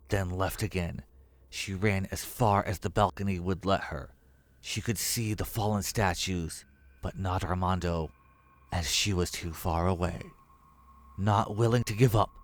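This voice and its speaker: Deep-voiced man narrating a horror story.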